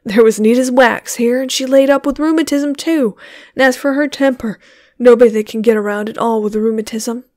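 Trembly earnest country man, shamefaced, halting, read by a woman. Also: fairly high pitch, darkish tone, no echo, clean studio sound, natural voice. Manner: halting, trembling, folksy speech. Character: shy older countryman, shamefaced but earnest.

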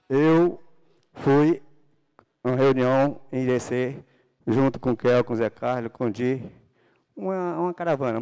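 A man's voice: medium pitch, darkish tone, clean tone. A middle-aged man from Paraná, Brazil, gives a political speech to a council chamber, holding a handheld microphone. He talks with religious fervor and builds to emphatic bursts. The sound comes from a large indoor hall. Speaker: city councilman.

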